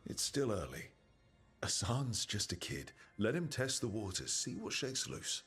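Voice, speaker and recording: A man playing an easygoing, encouraging adventurer in a video game cutscene, with a raspy voice and a wry, reassuring manner. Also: fairly low pitch, big pitch swings, unhurried, fairly bright tone, heavily acted. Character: easygoing, encouraging male adventurer, trusted comrade. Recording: music behind.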